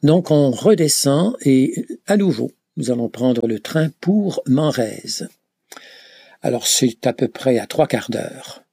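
Man, gravelly, hesitant, many filler sounds, slow delivery. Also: mid-range voice, lively intonation, dark tone, dry recording. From Canada. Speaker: pilgrim recounting a journey. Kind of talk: reflective travel account.

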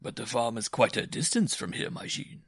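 A jovial circus bareback rider voiced by a male narrator, vain and pleased with himself, speaks in a teasing storybook manner. The sound is close and dry, with a slightly raised pitch. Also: animated, moderate pace, husky, dry recording.

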